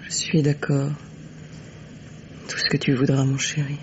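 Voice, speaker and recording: An actress playing a wounded, resigned lover with a hesitant, tender, breathy delivery, in the theatrical style of a 1950s radio mystery. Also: female voice, rumbling low, completely flat delivery, unhurried. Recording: dull, noisy old radio recording.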